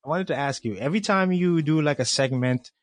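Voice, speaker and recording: A man from Colombia, hosting a podcast interview and asking questions in a halting way, full of "you know" fillers. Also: on the high side, dry recording. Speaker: podcast host.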